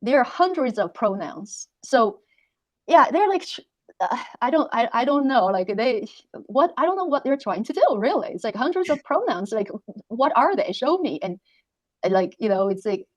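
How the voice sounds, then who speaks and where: A woman whose first language is not English, explaining things casually as an interview guest, halting and repeating words. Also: fairly high pitch, sing-song, no echo. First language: not English, which is a third language. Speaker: interview guest, reading tutor.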